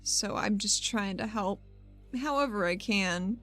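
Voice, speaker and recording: A woman's voice: mid-range voice, a little slow, fairly bright tone, faint music behind, close to an everyday voice. A woman playing an earnest, apologetic but determined adventurer in a tabletop roleplay, speaking politely and hesitantly.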